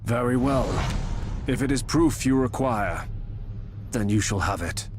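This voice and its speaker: A man playing a resolute, dignified naval high admiral in a video game, formal and commanding. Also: expressive, unhurried, noisy background, natural voice. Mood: stern warning. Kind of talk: video game cutscene acting.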